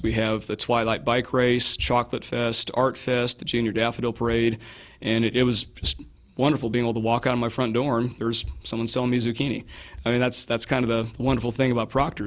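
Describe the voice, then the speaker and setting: A hoarse man answering interview questions as a local candidate, measured and hedging, with frequent fillers and restarts. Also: medium pitch, a bit bright. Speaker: political candidate.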